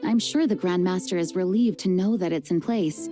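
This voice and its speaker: An actress playing a composed, dutiful adult woman knight who leads, speaking in a measured, earnest and formal way. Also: lowish voice, lightly acted. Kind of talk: video game voice acting. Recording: game music under the voice. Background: game music.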